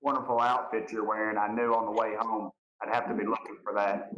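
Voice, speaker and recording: A congressman with a lowish voice and a Tennessee drawl questions witnesses at a hearing. He is folksy and plain-spoken but courteous. His voice comes with noticeable room echo. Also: flat delivery, a little fast, fairly bright tone, lo-fi sound. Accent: Southern US, Tennessee. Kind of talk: informal questioning at a formal hearing. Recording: roomy, echoing hearing audio.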